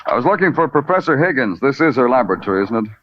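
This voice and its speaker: An actor playing a wry, world-weary Broadway police detective, deadpan and poetic, in old-time radio noir style. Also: male voice, medium pitch, racing, noisy background, close to an everyday voice. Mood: polite, dry. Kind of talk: 1940s-50s radio noir acting and narration. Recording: dull old radio broadcast with loud music.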